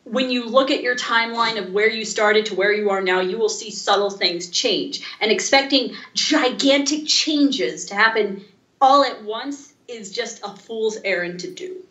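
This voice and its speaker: Female podcast co-host, higher-pitched bright voice, casual conversational delivery, roomy echoing recording. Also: a little slow, dull audio. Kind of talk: casual chat.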